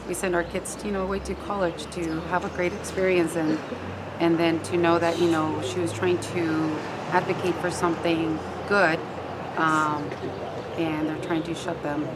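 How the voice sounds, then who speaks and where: Middle-aged mother type, female, very low voice, halting informal delivery, recorded outdoors in heavy urban noise. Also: level tone. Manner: hesitant, repeats words. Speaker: parent of a student activist. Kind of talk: informal spoken interview. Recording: loud outdoor urban ambience with vehicles.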